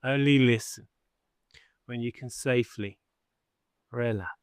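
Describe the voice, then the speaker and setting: Male hypnotherapist for guided sleep session: smooth, clean tone, soothing and unhurried, dry recording. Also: mid-range voice, no echo. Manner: slow, soothing, measured with long pauses.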